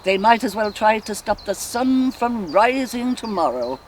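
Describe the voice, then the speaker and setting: Slow, musing Irish woman performed by an actress. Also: fairly low pitch. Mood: scornful, playful. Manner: musing, expressive, slow and deliberate with sighs. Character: sensual Irish woman lost in rapturous memory. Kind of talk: theatrical literary soliloquy. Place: outdoors in countryside woodland. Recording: faint outdoor ambient noise. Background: faint birdsong and nature ambience.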